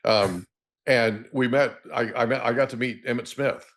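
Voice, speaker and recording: A man's voice: medium pitch, fairly even intonation, normal speed, fairly bright tone. A middle-aged American man from Oklahoma hosting an interview podcast in a casual, chatty, folksy way that wanders into anecdotes. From Oklahoma, United States. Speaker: podcast host.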